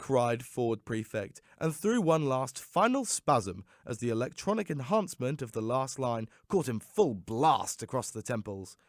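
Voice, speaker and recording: Male audiobook narrator: mid-pitched, lively and theatrical, recorded dry. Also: measured pace, few pauses, dry recording. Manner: theatrical, expressive storytelling. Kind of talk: dramatic scripted audiobook narration.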